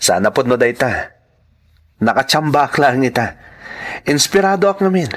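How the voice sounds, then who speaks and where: A man's voice: medium pitch, big pitch swings, normal speed, fairly bright tone. A man acting as a modest young professional in an Ilocano radio-style drama. He comes across as a devoted, sincere youngest son. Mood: modest, friendly.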